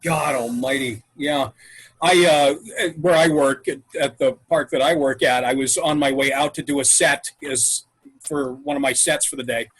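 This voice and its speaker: A man hosting an improv troupe's home episode, chatting over a video call and telling stories in a relaxed, talkative way. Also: medium pitch, normal speed, slightly rough, poor audio. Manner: chatty storyteller, wraps up the show. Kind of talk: casual chat. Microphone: video call mic.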